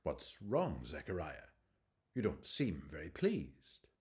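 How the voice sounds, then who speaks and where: An actor playing an angel, a measured and authoritative messenger of God, in a radio drama. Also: male voice, low-pitched, huge pitch swings, darkish tone, heavily acted. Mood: stern. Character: angel Gabriel, calm, authoritative, stern male messenger of God.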